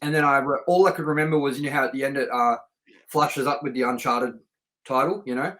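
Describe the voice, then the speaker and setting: A middle-aged man with a medium-pitched voice talks as the host of a film review show. He is chatty and informal, wandering through his thoughts and anecdotes without a script. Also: steady tone, fast talker, fairly bright tone, low-quality recording. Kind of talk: casual unscripted review chat.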